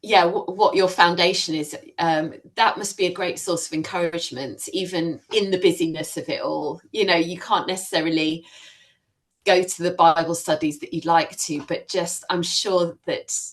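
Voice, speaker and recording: A woman's voice: lowish voice, steady tone, moderate pace. A woman interviewing two students about their faith, encouraging and affirming, with frequent fillers. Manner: warm encouraging, many fillers. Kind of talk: church testimony interview.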